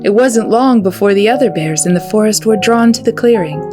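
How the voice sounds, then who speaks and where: A woman presents a storytime for children. She reads picture books aloud as a storyteller at a middling pitch, with music clearly heard behind her voice. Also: expressive, a little fast. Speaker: children's librarian hosting storytime.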